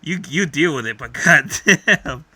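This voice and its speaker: A man's voice: on the high side, expressive, unhurried, bright, forceful tone, breathy edge, no echo. A young adult man co-hosting a podcast in casual, argumentative banter, swearing freely as he talks. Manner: profane, argumentative. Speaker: podcast co-host.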